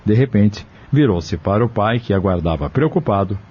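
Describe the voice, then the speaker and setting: Um homem com sotaque brasileiro fazendo narração roteirizada de radionovela. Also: male voice, lowish voice, lively intonation, moderate pace, breathy edge. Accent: Brazilian. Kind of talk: scripted audio drama narration. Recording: dull lo-fi audio.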